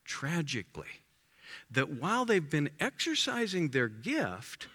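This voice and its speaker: A man preaching a sermon in an emphatic way, his voice rising to loud exclamations. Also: on the high side, very animated, measured pace, big-room sound.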